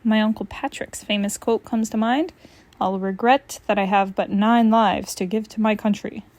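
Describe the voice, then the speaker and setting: A woman voicing a lazy, sarcastic male cartoon cat with a dry, deadpan delivery, in a storybook read-aloud. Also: medium pitch, normal speed, close to an everyday voice.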